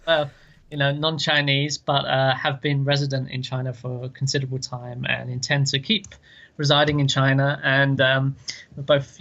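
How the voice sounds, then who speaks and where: A man's voice: medium pitch, bright, forceful tone. A man from London co-hosting a podcast, chatting casually and repeating his words. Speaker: podcast co-host. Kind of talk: casual chat. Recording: dull lo-fi sound.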